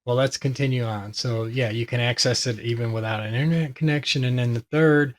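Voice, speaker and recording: A man with a hoarse voice talking casually and ramblingly as he explains a tech topic to his viewers, with many fillers. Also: medium pitch, steady tone, fairly quick. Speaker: tech video host.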